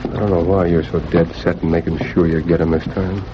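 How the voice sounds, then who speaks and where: An actor playing a cowardly, desperate outlaw villain with slangy, agitated frontier talk in an old-time radio drama. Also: male voice, sits low, level tone, brisk, natural voice. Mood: impatient, scheming. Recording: muffled, noisy vintage radio recording.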